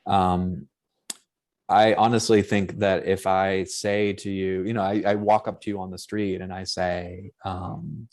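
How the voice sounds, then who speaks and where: A man with a light Boston accent and a fairly low voice speaks on a panel over a video call. He is an anthropologist who thinks aloud and works his ideas out as he goes, in a tentative, searching academic manner. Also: little pitch movement, measured pace, darkish tone.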